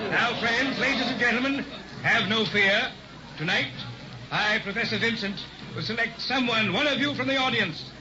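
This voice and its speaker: An actor playing a sinister carnival hypnotist and smooth-talking showman in an old-time radio drama, theatrical and menacing. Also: sits high. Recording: dull old radio recording with music.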